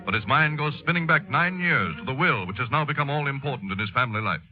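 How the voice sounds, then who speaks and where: A man's voice: medium pitch, expressive, natural voice. A man playing a grave, declamatory newsreel narrator in a 1930s radio news dramatization, stressing words with solemn weight. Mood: grave, dramatic. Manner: portentous, declamatory, drawn-out emphasis. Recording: muffled old 1930s radio recording, music.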